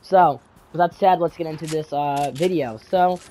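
A man's voice: on the high side, normal speed, warm tone, soft background music. A teenage boy with a cold, rambling through casual gaming commentary with many fillers, restarts and repeated words. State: congested from a cold. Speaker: gaming YouTuber. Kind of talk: casual vlog commentary over gameplay.

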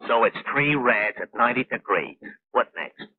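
An actor playing a cocky, slow-witted, skeptical bomber gunner in a 1940s cartoon, talking in folksy slang with a rough, husky voice. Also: male voice, medium pitch, animated. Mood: doubtful. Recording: muffled, tinny 1940s film soundtrack.

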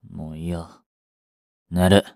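A man's voice: low-pitched, little pitch movement, slow pace, vocal fry, a little mellow, no echo, studio quality, full character voice. A man playing a curt, sulky young boyfriend in a romance audio drama, with a blunt, muttering manner. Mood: irritated, weary. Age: young adult. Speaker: voice actor.

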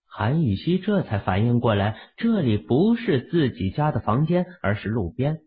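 A man's voice: mid-range voice, big pitch swings, moderate pace, husky. A man narrating fiction from a script as an audiobook storyteller. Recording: phone-like, band-limited audio.

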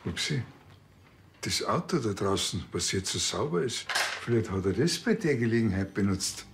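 A man's voice: on the low side, sing-song, moderate pace, creaky, noisy background. Chatty, smug Bavarian policeman. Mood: nosy.

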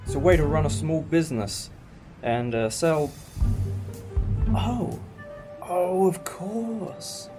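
A man's voice: a little high, slow, long pauses. A British man playing an eccentric, enthusiastic alien time traveller in a fan audio drama, musing like a professor. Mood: friendly. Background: music.

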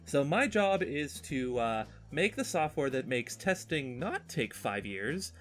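A man's voice: medium pitch, very animated, a little slow, a bit bright. A man chatting casually and talkatively on a podcast while he draws, with a joking, exasperated manner. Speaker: podcast host.

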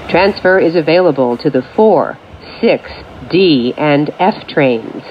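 A woman delivers formulaic transit announcements in separate, measured phrases. Her voice is low but moves with a lively lilt. It is captured inside a train, slightly dulled, with some vehicle noise around it. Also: lo-fi sound. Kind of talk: scripted automated train announcements. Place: recorded off speakers inside a subway train. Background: train ambience.

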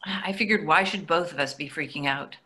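A woman's voice: deep voice, brisk, bright, forceful tone. An older woman reading the part of a practical, exasperated wife in a stage play, dry and matter-of-fact. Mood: mildly defensive. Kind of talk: readers theatre play reading. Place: plain room indoors, video call.

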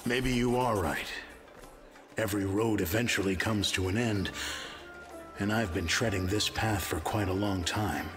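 Aging dwarf assassin, low-pitched, gruff, guarded, world-weary, video game dialogue, prominent music behind. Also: male voice, a little slow, fairly bright tone. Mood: resigned, gruff.

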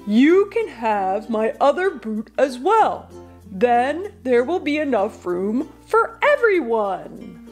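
A woman voicing a big, well-meaning, clumsy male giant in a children's story, speaking slowly and emphatically. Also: fairly high pitch, sing-song, darkish tone, faint music behind, exaggerated voice. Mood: excited. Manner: slow, emphatic.

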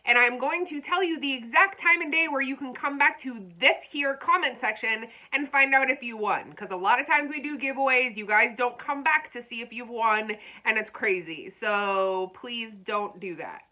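A woman hosting a casual unboxing vlog, talking straight to her viewers in a bubbly, chatty way. Her voice is bright and sits a little high. Allergies leave it sounding congested and slightly froggy. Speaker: vlogger.

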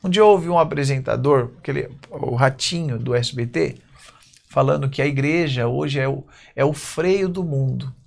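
A man in his thirties with a Brazilian accent, preaching a sermon at a church pulpit, reading verses slowly and then speaking in quick bursts. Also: mid-range voice, creaky, darkish tone. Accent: Brazilian Portuguese. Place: church stage at a wooden pulpit.

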